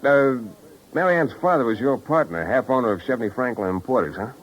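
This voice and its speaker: An actor playing a wry, impatient private detective in a 1950s radio drama, clipped and sardonic. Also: male voice, mid-range voice, lively intonation, fairly quick, a little hiss or hum, natural voice. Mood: suspicious, needling. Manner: clipped, sardonic, world-weary. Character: wry, impatient, hard-boiled red-headed Irish private detective man. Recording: muffled old radio recording, music behind.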